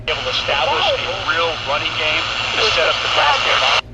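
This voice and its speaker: A man with a slightly high voice comments on football. The sound comes through a shortwave radio and is swamped by static. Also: sing-song, fairly quick. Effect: heard over shortwave radio. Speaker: sports commentator. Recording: very noisy radio reception, heavy static.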